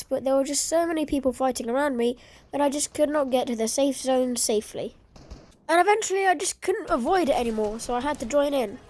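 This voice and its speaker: A woman's voice: sits high, darkish tone. A woman with a sore, congested throat, giving dry, self-deprecating voice-over commentary on her gameplay clips. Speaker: gaming video creator.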